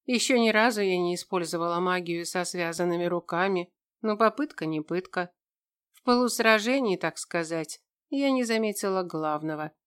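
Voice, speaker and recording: A woman narrates a fantasy audiobook in the first person. Her voice is smooth and clean, sitting a little low for a woman. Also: normal speed.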